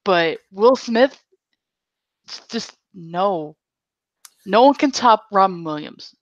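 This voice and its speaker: A woman's voice: medium pitch, animated, takes it slowly, no echo. A young woman chatting casually as a podcast guest, giving her opinions bluntly and emphatically. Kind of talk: casual chat. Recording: dull, lo-fi, close dry audio.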